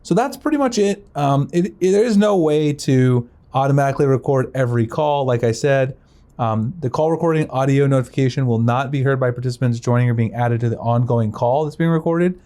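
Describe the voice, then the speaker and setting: A man's voice: mid-range voice, a little fast, warm tone. A man presenting a casual step-by-step phone tutorial in an informal, conversational, explanatory way.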